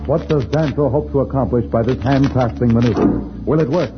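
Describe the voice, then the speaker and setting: Old timey radio announcer guy narrating a serial, loud music behind, muffled sound. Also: medium pitch, brisk. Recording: dull old radio recording over music.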